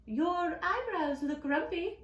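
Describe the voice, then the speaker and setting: A woman's voice: very high-pitched, lively intonation, slow pace, echoey room, highs cut off, heavy background noise, pitched above the performer's own voice, full character voice. A woman voicing a cheery, concerned lemur from a storybook in a sing-song, questioning way.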